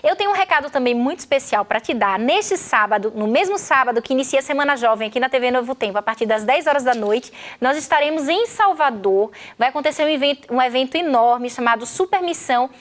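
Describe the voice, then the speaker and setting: A woman's voice: sits high, expressive, quick pace, hardly any pauses. A Brazilian woman hosting a youth TV show, chatty and upbeat, reading out viewers' messages. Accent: Brazilian Portuguese. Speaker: TV show host.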